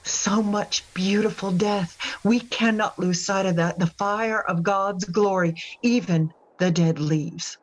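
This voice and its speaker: A woman playing a devout, unsettled imprisoned mother in a radio drama, giving a halting, wistful confessional monologue. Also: medium pitch, steady tone, slight background noise, lightly acted, low-quality recording. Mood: brooding. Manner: halting, wistful, folksy, brittle.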